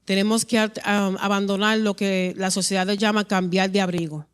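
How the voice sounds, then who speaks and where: A man interpreting a sermon live, quickly echoing each line after the preacher. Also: high-pitched, little pitch movement, smooth.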